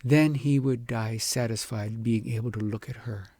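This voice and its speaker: Male audiobook narrator for fairy tales, mid-pitched with some gravel, expressive measured read, dry close sound. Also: dry recording. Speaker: audiobook storyteller and host. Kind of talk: scripted storytelling narration of a fairy tale.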